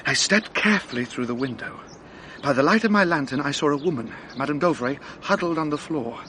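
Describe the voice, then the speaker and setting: An actor playing an earnest, dutiful French police sergeant in a radio drama, speaking formally as if giving a step-by-step report. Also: male voice, fairly high pitch, lively intonation, brisk, pushed and bright, a little hiss or hum. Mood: grave, horrified.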